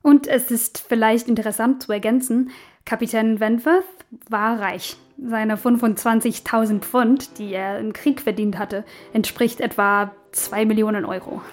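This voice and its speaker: A woman's voice: a little high, fairly even intonation, unhurried. A woman delivering scripted explanatory commentary within a radio drama.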